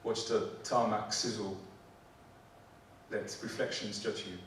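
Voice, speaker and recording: Polish-accented man, slow echoey stage poem. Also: on the low side, flat delivery, fairly bright tone, a little hiss or hum. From Poland. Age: 40s. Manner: slow, deliberate poetry delivery; halting, joking banter with many fillers. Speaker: performance poet. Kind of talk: spoken word poetry and stage banter. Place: theatre stage before a live audience. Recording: echoey hall, mic from a distance. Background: audience laughter.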